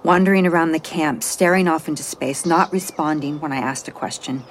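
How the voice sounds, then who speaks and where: A woman's voice: low-pitched, brisk, slight background noise, lightly acted, rough recording. An actress playing an anxious, flustered botanist on a space mission, hesitant and self-doubting as she gives a report in an audio drama. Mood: worried, explaining. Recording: moody music score underneath.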